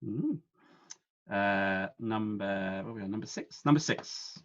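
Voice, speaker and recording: A man hosting a quiz over a video call, reading each clue slowly and then again. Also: lowish voice, muffled recording. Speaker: quiz host.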